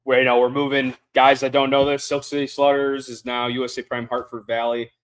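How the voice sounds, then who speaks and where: A man's voice: medium pitch, level tone, a little fast. Chill guy hosting a podcast, lots of um and kinda, normal mid voice. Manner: laid-back, filler-heavy, says "kinda" often. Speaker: podcast host. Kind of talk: casual podcast interview.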